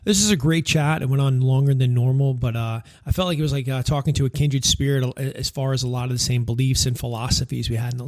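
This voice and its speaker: A man's voice: medium pitch, steady tone, fast talker, hardly any pauses, darkish tone, no room sound, clean studio sound. A man from New York hosting a podcast interview, rambling casually with lots of filler words and restarts. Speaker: podcast host.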